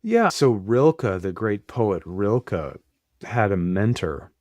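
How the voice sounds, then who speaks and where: Male host, deep and unhurried, thoughtful, pauses to find words, dry close sound. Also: big pitch swings, a little mellow, no echo. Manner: slow, thoughtful, searching for words. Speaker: host, therapist. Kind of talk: reflective conversation.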